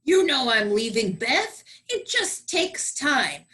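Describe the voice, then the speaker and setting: A woman plays a married man placating his mistress, earnest and possessive. The voice is bright and high, with melodrama in the manner of a comedy radio play. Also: sing-song, slow pace, heavily acted.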